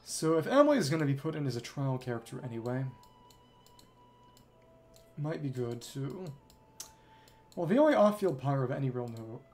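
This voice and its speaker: Female game streamer, low register, animated delivery, thinking out loud over clear background game music. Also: normal speed, long pauses. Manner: musing aloud, switching between reading and reacting. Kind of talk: live gameplay commentary, reading dialogue aloud.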